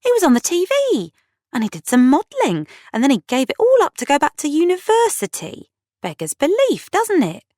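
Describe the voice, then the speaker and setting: A woman's voice: medium pitch, big pitch swings, normal speed, hardly any pauses, no echo, studio quality, voice pushed up. A woman voicing a blunt, gossipy, celebrity-obsessed young woman in an audiobook, incredulous and emphatic. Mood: incredulous gossip.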